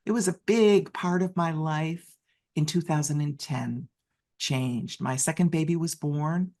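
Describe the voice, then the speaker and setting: An interpreter voicing a deaf speaker's personal story in the first person, in halting phrases full of restarts and self-corrections. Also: mid-range voice, a little mellow.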